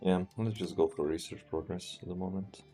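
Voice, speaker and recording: Deep-voiced Serbian-accented man streaming a game. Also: a little fast, faint music behind. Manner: relaxed, thinking aloud, often trails off and restarts. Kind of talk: live gameplay commentary.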